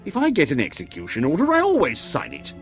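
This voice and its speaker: Pompous whiny cowardly bureaucrat in a game, highish voice going all over the place, fussy and haughty. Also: male voice, wildly swooping pitch, quick pace, vocal fry, soft background music, heavily acted. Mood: blithe, haughty. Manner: fussy, haughty, self-absorbed. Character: pompous, cowardly, pampered Imperial official. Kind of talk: comic video game acting.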